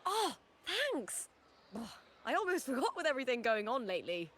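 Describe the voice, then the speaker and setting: An actress playing an upbeat, fiery young female arena fighter in a video game, chatty and animated. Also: sits high, normal speed, full character voice. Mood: surprised. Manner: animated, breezy, casually chatty. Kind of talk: video game character acting.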